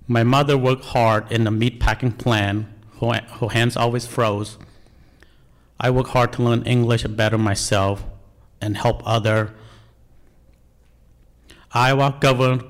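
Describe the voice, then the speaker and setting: Male, forties, Cambodian accent, medium pitch. Earnest, deliberate delivery of a prepared personal story in second-language English. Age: 40s. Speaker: National Guard member telling life story.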